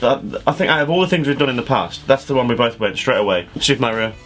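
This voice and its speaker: A man's voice: medium pitch, lively intonation, quick pace, a bit bright, faint music behind. A man co-hosting a show with casual banter and an incredulous manner. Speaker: show co-host.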